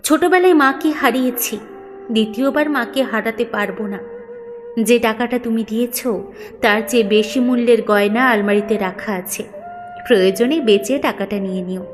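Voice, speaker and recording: A woman's voice: on the high side, moderate pace, soft background music, close to an everyday voice. A woman storyteller voicing a caring, principled young daughter-in-law with an earnest, emotional manner. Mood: resolute, sorrowful.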